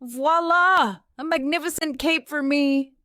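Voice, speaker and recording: A woman's voice: high-pitched, measured pace, sharp tone, no echo, clean studio sound, pitched above the performer's own voice. A woman voicing a bossy, theatrical female cat in a children's story, with grand, dramatic exclamations. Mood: excited, grand.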